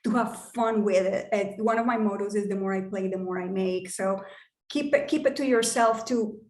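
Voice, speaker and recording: A woman's voice: mid-range voice, normal speed, darkish tone, echoey room. A woman from Colombia with a Colombian Spanish accent, a native Spanish speaker, hosting an online workshop in a casual, upbeat way with the odd swear word. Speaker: workshop host and wealth coach.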